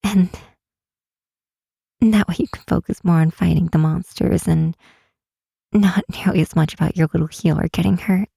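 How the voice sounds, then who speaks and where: Woman acting as a breathy, husky, timid young healer. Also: fairly low pitch, steady tone, dry recording, studio quality, heavily acted. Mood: anxious, self-doubting. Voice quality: soft, breathy, husky half-whisper. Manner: halting, sighing, unsure delivery. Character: timid young female fantasy healer, self-doubting, gentle and needy. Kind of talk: ASMR roleplay, intimate and hesitant. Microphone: close, dry microphone.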